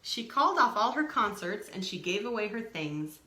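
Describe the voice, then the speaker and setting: A woman reading a picture book aloud to young children, expressively, pausing to add simple explanatory asides. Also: mid-range voice, very animated, bright, forceful tone, echoey room. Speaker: storytime reader.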